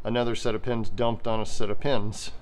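Man explaining over loud garage noise. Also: on the low side, level tone, fairly quick. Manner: plain-spoken, step-by-step explaining. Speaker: host demonstrating his pinsetter machine. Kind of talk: informal hands-on explanation. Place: indoor garage workshop full of machinery. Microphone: handheld phone camera. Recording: noisy, clattering machinery in garage. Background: machinery noise.

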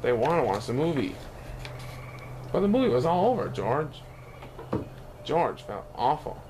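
A man reading a picture book aloud in an expressive way, now and then stumbling over words. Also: on the high side, measured pace, background noise. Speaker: children's book reader.

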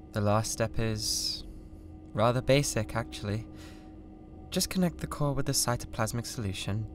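A British man playing a cold, condescending mad scientist with a god complex in an ASMR roleplay, speaking in a deliberate, theatrical way. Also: medium pitch, close to an everyday voice. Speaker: ASMR voice actor. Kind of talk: ASMR roleplay, scripted drama.

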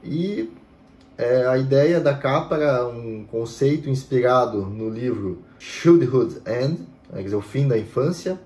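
A man's voice: medium pitch, a little slow, reverberant. A man in his thirties, a music history host, explaining steadily to camera at home, often tagging sentences with "né". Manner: steady, explanatory, frequent "né" fillers. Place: home room with bookshelves.

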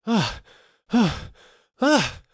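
A man's voice: medium pitch, sing-song, a little slow, a bit bright, husky, no room sound, studio quality, voice pushed up, exaggerated voice. A kind old merchant, put on by a male storyteller, speaks in a strained, raised voice well above the reader's own. His pleading breaks into panicked gasps. It is a storybook reading. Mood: terrified. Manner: pleading, then gasping in panic.

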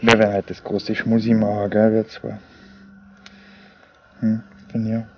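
A lowish male voice, talking loosely and rambling with lots of fillers. He sits outdoors at a forest camp at night, close to the camera mic. Faint crickets and insects sound behind him. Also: monotone, measured pace, lo-fi sound, slight background noise. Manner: rambling, talks to his dog, fillers. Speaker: outdoor vlogger. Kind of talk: casual talk to camera.

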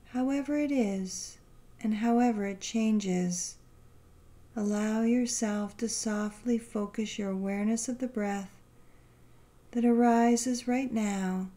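Female meditation guide: relaxed, warm tone, very slow pace, gentle and soothing, spacious pauses. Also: medium pitch. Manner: gentle, unhurried, soothing delivery with long pauses.

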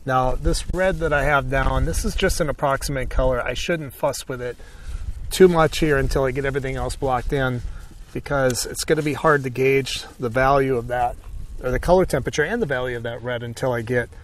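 A man's voice: medium pitch, brisk, distant mic, slight background noise. A man giving a casual painting tutorial as an instructor, thinking aloud informally in short phrases.

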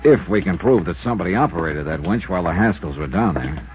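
Lively hard-boiled 1950s radio investigator. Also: male voice, low voice, fast talker, faint music behind. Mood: brisk, firm. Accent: American. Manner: clipped, dry, hard-boiled. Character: tough, wry male insurance investigator narrating his own case. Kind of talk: 1950s radio detective drama acting and narration. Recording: dull lo-fi 1950s radio recording.

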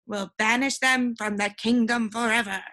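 A woman's voice: a little high, steady tone, moderate pace. Woman playing an indignant, selfish storybook king. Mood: furious. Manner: indignant, forceful. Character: two selfish, furious brother kings, growling.

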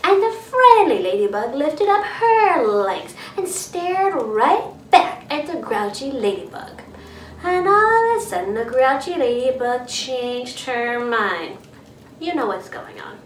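A woman in her thirties reading aloud to children as a storyteller, dramatic and expressive. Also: sits high, takes it slowly. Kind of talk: animated children's read-aloud. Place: living room by a fireplace. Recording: roomy, distant mic, faint noise.

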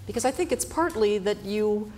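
A woman hosts a studio interview and asks her questions slowly and deliberately, pausing now and then. A faint noise sits under her voice. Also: medium pitch, animated, a little mellow. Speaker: interviewer host. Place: television studio set.

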